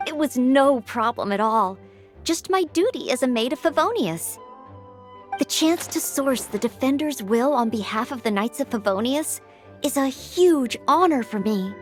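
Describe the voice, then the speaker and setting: High sweetly polite young maid knight girl, game voice, earnest and dutiful, faint music behind. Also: lively intonation, unhurried. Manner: earnest, courteous, precise. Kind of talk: video game character acting.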